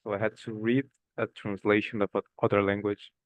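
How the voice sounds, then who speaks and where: A man from Brazil with a Brazilian Portuguese accent, a seminar participant speaking halting English and searching for words. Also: lowish voice, flat delivery, lo-fi sound.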